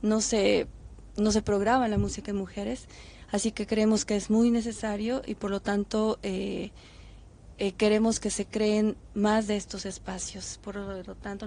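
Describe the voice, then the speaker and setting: Creaky, flat-voiced hesitant woman. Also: mid-range voice, a little slow. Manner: hesitant, many fillers. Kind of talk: interview answers.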